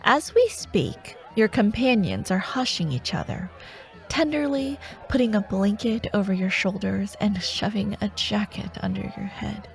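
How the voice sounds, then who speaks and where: A woman playing a menacing dark warlord who haunts nightmares, teasing and taunting in a fantasy ASMR audio roleplay. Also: mid-range voice, lively intonation, vocal fry, soft background music. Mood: mocking, sinister. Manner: teasing, taunting, slow and deliberate, slipping toward whispers.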